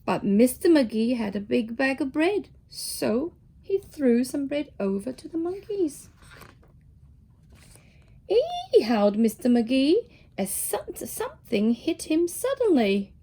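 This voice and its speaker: A woman reading a storybook aloud to young children in an expressive way, dropping into hushed asides. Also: sits high, measured pace, husky. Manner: animated, with hushed whispered asides. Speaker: children's storybook reader, teacher.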